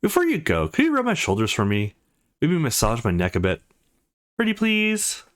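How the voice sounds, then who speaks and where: A man voicing a sweet, coaxing, relaxed adult woman landlady in a visual novel. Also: on the low side, fairly quick.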